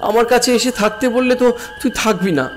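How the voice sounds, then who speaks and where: A man's voice: extremely high pitch, fast, a little hiss or hum. A man voicing an anxious, loving younger brother who pleads with his older brother to be careful. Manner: pleading, worried and affectionate. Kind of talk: Bengali cartoon voice acting.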